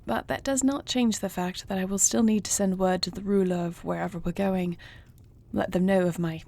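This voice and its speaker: A woman playing a tender, regal dragon queen in a romantic audio roleplay, with soft, formal and affectionate delivery. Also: mid-range voice, steady tone, moderate pace. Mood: thoughtful, warm. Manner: soft, formal phrasing, affectionate, often breathy. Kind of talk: romantic audio roleplay, intimate scripted acting.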